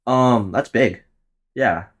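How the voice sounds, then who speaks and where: A young man near twenty hosts his own podcast alone, rambling through his plans in a loose, profane, offhand way. His voice sits in an ordinary middle pitch, and he often laughs at his own jokes. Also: slow. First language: Tagalog. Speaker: podcast host. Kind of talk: casual solo podcast monologue.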